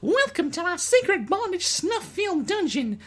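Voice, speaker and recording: A woman voicing an unhinged, excitable teenage anime girl in a theatrical, melodramatic way for a fan fiction reading. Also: very high voice, unhurried, heavily acted. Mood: gleeful, showy.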